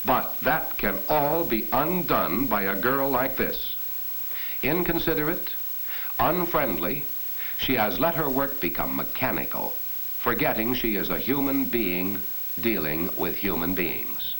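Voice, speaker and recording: A man's voice: medium pitch, very animated, unhurried, a bit bright, poor audio. A man narrating an instructional film in earnest 1940s style, addressing the viewer directly as he teaches. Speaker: narrator of a corporate training film. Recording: hissy 1940s film soundtrack.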